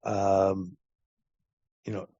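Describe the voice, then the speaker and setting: An older man with an American accent giving reflective interview answers as a guest, halting and repeating words as his thoughts form. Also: low voice, monotone, warm tone, dry recording, muffled recording. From Long Island, United States. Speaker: podcast guest, talent acquisition advisor.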